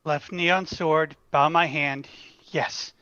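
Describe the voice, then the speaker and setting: Man reading as a slow, nervous young shepherd. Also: on the high side, steady tone, bright, forceful tone, clean tone, no echo, dull audio. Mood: nervous, unsure. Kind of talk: table read of a fantasy screenplay.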